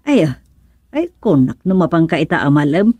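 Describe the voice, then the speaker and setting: A woman's voice: low voice, very animated, moderate pace, warm tone, slightly rough, no echo, heavily acted. Breezy older Ilocano village woman, dry sound. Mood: casual. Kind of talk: Ilocano radio serial comedy acting.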